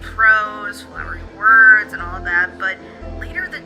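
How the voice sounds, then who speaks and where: Woman as a very bright, chatty contact. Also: mid-range voice, highs cut off, soft background music. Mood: hesitant, intrigued. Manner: informal, halting, expressive. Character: chatty, hesitant female records contact, off duty.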